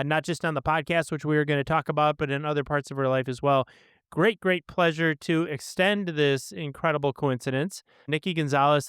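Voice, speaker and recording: A man's voice: a little high, a bit bright, clean tone, dry recording, clean studio sound. A man hosting a podcast, introducing and interviewing his guest in an effusive, conversational way. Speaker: podcast host. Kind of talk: interview introduction with personal anecdote.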